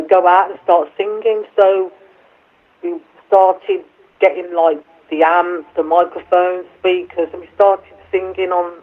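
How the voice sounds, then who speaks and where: Deep-voiced woman on a tinny phone line. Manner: chatty and a little self-deprecating, hesitant fillers. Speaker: singer being interviewed. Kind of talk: casual chat over the phone. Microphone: telephone.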